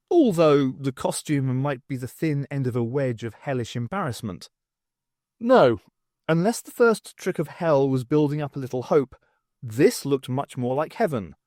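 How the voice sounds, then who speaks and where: A man reading scripted story narration as an audiobook narrator, with expressive, dramatic phrasing. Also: medium pitch, no echo, clean studio sound.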